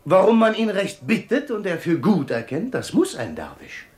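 An actor playing a proud, blunt, jocular dervish in a 1950s German radio play, bantering in a declamatory way. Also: male voice, high-pitched, huge pitch swings, normal speed. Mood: bantering, boastful. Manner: bantering, declamatory, rhetorical questions. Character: proud, jocular dervish turned royal treasurer, male, blunt. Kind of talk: 1950s German radio play acting, classical verse drama. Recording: 1950s radio drama recording.

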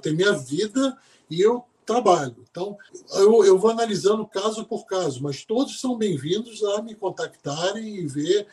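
A chatty Brazilian man with a fairly high voice speaks as a podcast guest. He sounds relaxed and talkative and keeps restarting his sentences. His tone stays conversational throughout. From Brazil, born in Salvador, raised in Rio de Janeiro. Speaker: sports psychologist guest. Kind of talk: casual podcast interview.

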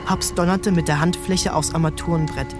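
A man's voice: fairly high pitch, fairly even intonation, normal speed, music in the background. A man narrating a literary story in the first person, as a scripted reading for a radio drama.

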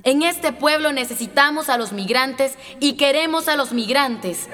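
An actress playing a firm, resolute activist leader giving a declamatory rallying speech in a radio drama. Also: female voice, high-pitched, unhurried, fairly bright tone. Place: reverberant meeting hall scene. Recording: echoey hall with long reverb.

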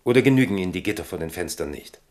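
Royal confidant for radio play, deep voice, loyal, frank, firmly admonishing. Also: male voice, lively intonation, racing, darkish tone. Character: loyal, frank, steadying attendant of a king. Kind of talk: German radio drama acting.

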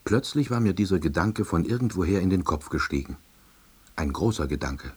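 A man playing a wry, self-deluding office worker who narrates his story in a deadpan, reflective way. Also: deep voice, fairly quick, husky. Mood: dry musing. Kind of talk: first-person radio play narration.

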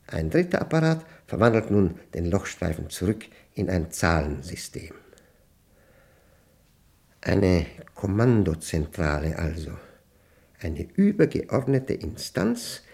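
Creaky-voiced, pedantic older professor. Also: male voice, fairly low pitch, a little mellow, full character voice. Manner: pedantic, deliberate, halting, precise diction. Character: reclusive older mathematics professor, pedantic, proud, uneasy. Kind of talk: German radio play monologue.

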